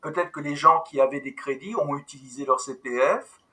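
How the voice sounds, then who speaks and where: A man hosting an online team meeting over a video call, giving a business update in a brisk way with many restarts. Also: a little high, bright, forceful tone. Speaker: meeting host, company manager.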